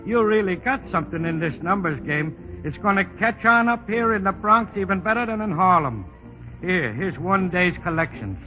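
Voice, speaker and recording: A man's voice: high-pitched, animated, normal speed. A man playing an eager, deferential American racket collector in 1930s radio style, hesitant and ungrammatical. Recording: dull lo-fi 1930s radio, music behind.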